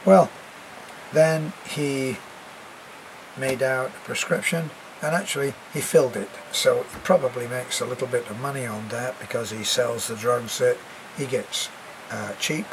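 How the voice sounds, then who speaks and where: A man's voice: medium pitch, a little slow, noisy background. Older British man with a cough. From Britain. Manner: rambling and chatty, many fillers and restarts. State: has a cough, congested. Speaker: expat vlogger. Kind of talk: casual chat to camera.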